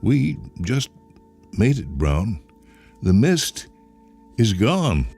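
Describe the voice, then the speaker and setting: Man playing a high-pitched, stammering, nervous little man. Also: very animated, extremely slow, a little mellow, husky, full character voice. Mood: apologetic. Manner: hesitant, stammering. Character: nervous, pleading little man with a mysterious secret. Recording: music behind the voice.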